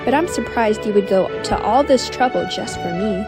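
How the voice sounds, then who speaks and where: A woman voicing a gentle, grateful female steam engine in a cartoon dub. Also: medium pitch, lively intonation, moderate pace, over music, natural voice.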